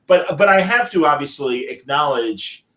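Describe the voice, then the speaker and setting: A man hosting a live online interview over a video call, enthusiastic and quick to praise. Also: on the high side, animated, a bit bright. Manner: enthusiastic, effusive. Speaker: host. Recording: roomy echo.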